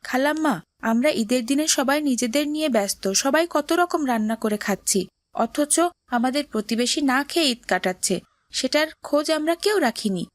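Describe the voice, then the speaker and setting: A woman's voice: high voice, fairly quick, no echo, close to an everyday voice. A woman voicing a kind, earnest neighbour boy who talks in a moralising way in a cartoon drama. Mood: earnest, appealing.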